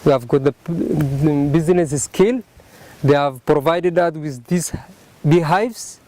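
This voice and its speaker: A man offers an unscripted interview testimonial. His voice is slightly high, and he speaks haltingly, searching for words. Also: warm tone. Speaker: project beneficiary giving testimony.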